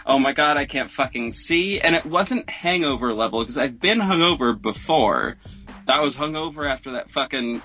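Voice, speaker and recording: A man telling a personal story as a podcast guest in a casual, animated, profane, slangy way. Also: mid-range voice, normal speed, dull audio, soft background music.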